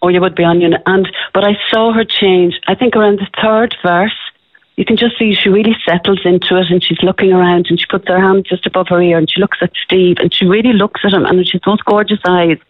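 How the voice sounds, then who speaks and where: A middle-aged Irish woman, a former television presenter, telling a lively story as a radio interview guest. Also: on the low side, fairly quick, no room sound. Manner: breathless, excitable, addresses host by name. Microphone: telephone. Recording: tinny phone line.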